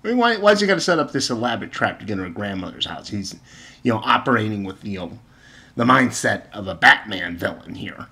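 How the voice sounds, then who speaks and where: A man's voice: fairly low pitch, very animated, brisk, gravelly, bright, forceful tone. A man giving informal opinion commentary and retelling stories, with exasperated, sarcastic emphasis and rhetorical questions. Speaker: video commentator.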